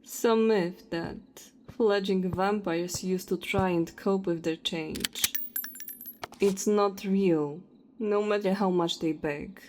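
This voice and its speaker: A woman acts out a menacing female vampire hunter in an audio drama. She talks very slowly in a mid-pitched voice, cold and contemptuous, taunting with each deliberate phrase. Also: fairly even intonation, a little mellow, a little hiss or hum, natural voice, rough recording. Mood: dismissive, ruthless. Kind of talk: audio roleplay acting.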